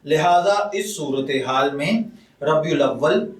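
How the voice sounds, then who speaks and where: A man speaking as a religious scholar, calm and measured, with a slightly high voice. He talks very slowly and formally. The recording is echoey, with the voice a little distant from the mic. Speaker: religious scholar answering a question. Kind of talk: slow formal religious ruling talk. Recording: echoey room, voice a bit far from the mic.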